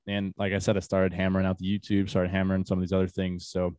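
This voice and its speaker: A young man hosting a podcast talks in a relaxed, candid way and swears casually. His voice sits fairly low, and the sound is close and dry. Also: quick pace, no room sound. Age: young adult. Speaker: podcast host.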